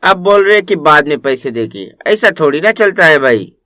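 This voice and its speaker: A man voicing an annoyed, insistent rickshaw driver who complains, in an animated moral story. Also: fairly high pitch, moderate pace, no echo, studio quality. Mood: indignant. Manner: complaining, argumentative.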